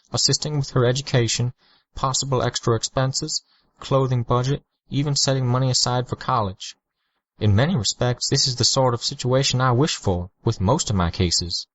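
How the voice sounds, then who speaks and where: A man reading an audiobook, voicing a measured, businesslike middle-aged female caseworker. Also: mid-range voice, a bit bright, dull audio, voice pushed up, close to an everyday voice.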